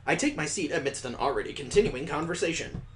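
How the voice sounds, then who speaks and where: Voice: mid-range voice, expressive, fast talker. Casual gamer reading a story aloud. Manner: casual asides and joking remarks between read passages. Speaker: host reading a visual novel aloud. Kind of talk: let's play narration, reading aloud.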